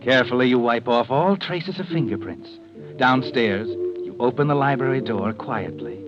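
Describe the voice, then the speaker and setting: An actor as ominous old-radio mystery narrator, muffled recording. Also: male voice, mid-range voice, animated, normal speed, loud music behind the voice, lightly acted. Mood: ominous, suspenseful. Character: sardonic male narrator, cool, ominous voice of conscience.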